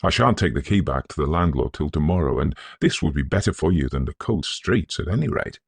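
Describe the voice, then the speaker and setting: A man's voice: extremely low pitch, lively intonation, a little fast, gravelly, breathy edge, no echo, heavily acted. A man voicing a humble, kindly working man in a storybook reading, deferential and earnest towards his young master. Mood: welcoming.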